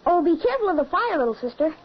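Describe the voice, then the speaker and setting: Very high-voiced, earnest boy. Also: sing-song, very fast. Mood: anxious. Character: earnest, dutiful young boy, protective of little sister. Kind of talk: old-time radio horror drama acting and narration. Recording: muffled, hissy old radio recording.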